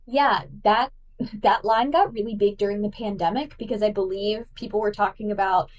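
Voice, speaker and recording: A woman's voice: medium pitch, steady tone, normal speed, far from the mic, muffled recording. Enthusiastic woman analyzing on a podcast. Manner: enthusiastic, analytical, talks through ideas with fillers. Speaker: guest host. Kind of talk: casual podcast discussion.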